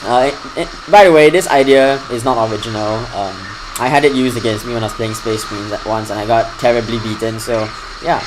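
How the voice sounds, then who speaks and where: A man's voice: medium pitch, fairly quick, noisy background. Man giving casual gameplay commentary. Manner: fairly flat delivery that lifts when excited. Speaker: gaming channel host.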